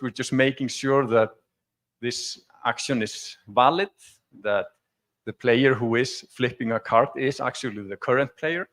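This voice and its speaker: A man's voice: mid-range voice, a bit bright. Man giving a tech talk. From Iceland. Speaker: conference speaker. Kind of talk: informal technical talk with live demo. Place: conference stage before an audience.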